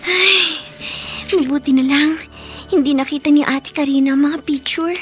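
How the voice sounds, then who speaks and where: Woman playing a high-voiced, shy teenage housemaid. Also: moderate pace, music in the background, lightly acted. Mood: secretive, anxious. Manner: timid, stammering, deferential. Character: shy, secretive, polite teenage housemaid girl. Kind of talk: Filipino radio drama acting.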